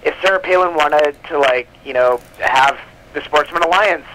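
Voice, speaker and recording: A man's voice: a little high, moderate pace, sharp tone, low-quality recording. A man speaking as an interview guest in a casual, rambling and giggly way. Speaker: comic book publisher, interview guest.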